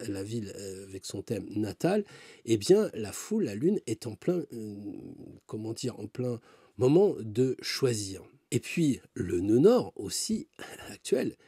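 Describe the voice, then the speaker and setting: A man's voice: mid-range voice, warm tone, husky, no echo, studio quality. A man talking about astrology in a casual, rambling way, with many fillers and asides. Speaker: astrologer and tarot reader. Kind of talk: casual spoken commentary.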